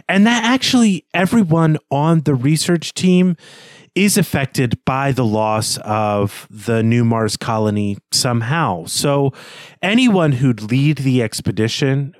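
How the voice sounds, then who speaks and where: A man co-hosting a podcast, giving a prepared story summary in a measured, explanatory manner. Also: mid-range voice, no room sound, studio quality. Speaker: podcast co-host.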